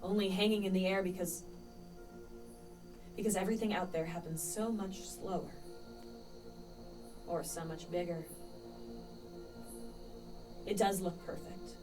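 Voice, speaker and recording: A woman playing a young male poet and spy in a radio play, musing lyrically with dramatic pauses. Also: lowish voice, steady tone, distant mic, music in the background, lightly acted, rough recording. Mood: wistful wonder. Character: Christopher Marlowe, dreamy, searching young male poet and spy.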